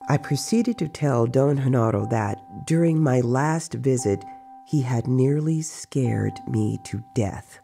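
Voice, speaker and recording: A man reading a dramatized story in a measured, deliberate narration. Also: mid-range voice, gravelly, warm tone. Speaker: narrator reading book excerpts.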